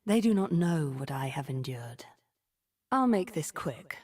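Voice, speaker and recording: An actress playing a cunning, menacing sorceress in a video game, speaking with measured, imperious theatricality in a breathy voice. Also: female voice, low-pitched, sing-song, darkish tone, heavily acted. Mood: cold disdain. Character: cunning, regal, menacing sorceress of a secret order. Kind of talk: video game character voice lines.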